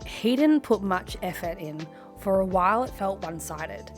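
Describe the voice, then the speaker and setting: Casting: young woman host, croaky from a cough, sassy reaction commentary, faint music behind. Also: mid-range voice, expressive, normal speed. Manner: sassy, laughs often, mutters asides. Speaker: host reading and reacting to online posts.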